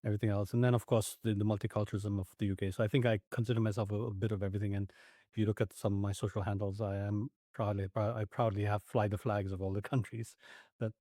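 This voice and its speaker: Guest voice: man in his 40s, low and mellow, thoughtful, halting, dry sound. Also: level tone, no room sound. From Nigeria, Ethiopia and the UK. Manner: reflective, with frequent restarts and fillers. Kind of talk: conversational interview.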